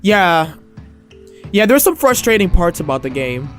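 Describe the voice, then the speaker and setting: Man, podcast host, high pitch, hoarse, excitable, rambling, faint background music. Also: measured pace. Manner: excitable, rambling, trips over words. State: losing his voice, slightly hoarse. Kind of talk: casual game review chat.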